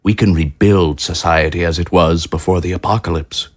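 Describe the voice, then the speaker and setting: An actor playing a grandiose, smoothly menacing government official in an audio drama, with formal, oratorical delivery. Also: male voice, low voice, steady tone, normal speed. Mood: grandly fervent. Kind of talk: scripted audio drama acting.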